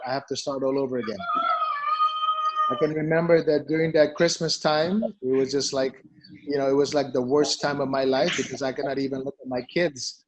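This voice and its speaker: A man's voice: mid-range voice, a little hiss or hum, low-quality recording. A middle-aged man from the Philippines, a company CEO, telling his personal story in an interview, emphatic and repeating phrases for stress. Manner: emphatic, repeats for stress, peppers talk with "you know" and "okay?".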